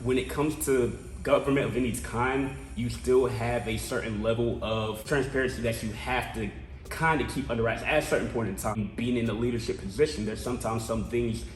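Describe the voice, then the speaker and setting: Young man from South Carolina, council candidate, earnest and measured with filled pauses; echoey room. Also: mid-range voice, fairly even intonation, a little hiss or hum. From Columbia, South Carolina. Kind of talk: interview answers.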